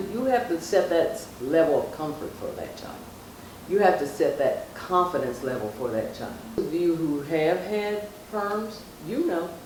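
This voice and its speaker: A woman's voice: low-pitched, darkish tone. A middle-aged woman telling personal stories and giving advice casually and emphatically, repeating phrases for stress. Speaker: mother, guest on her daughter's vlog. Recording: very echoey room, distant mic, faint noise.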